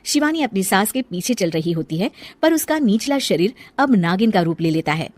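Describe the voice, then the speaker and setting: A woman's voice: mid-range voice, big pitch swings, moderate pace, dry recording. A woman giving scripted narration for a cartoon moral story.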